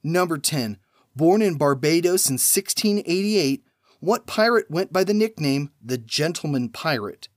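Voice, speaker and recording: A man hosting a trivia podcast, reading numbered questions and then giving each answer after a pause, in a measured way. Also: a little high, animated.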